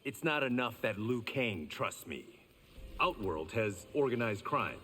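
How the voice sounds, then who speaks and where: A man's voice: medium pitch, very animated, unhurried, a bit bright, slight background noise. An actor playing a tough, wry reformed criminal swordsman with dry, confident banter in a video game. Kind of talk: video game fight intro acting. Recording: long hall reverb.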